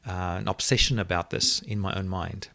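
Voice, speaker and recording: A middle-aged man whose first language is Afrikaans, reflectively telling a personal story as an interview guest and often pausing to search for words. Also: low voice, steady tone, gravelly, pushed and bright, no room sound. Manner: thoughtful, searching for words, many restarts.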